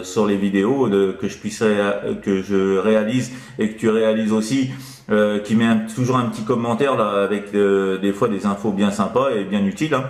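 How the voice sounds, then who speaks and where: A man chatting casually as a CB radio operator, in a hesitant, rambling, chuckling way. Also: lowish voice. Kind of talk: casual radio contact chat. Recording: roomy, echoing room sound.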